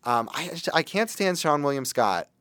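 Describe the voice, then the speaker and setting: A man hosting a casual movie review chat, measured and qualifying his opinions as he goes. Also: fairly high pitch, big pitch swings, a bit bright, clean tone, dry recording. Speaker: movie review show host.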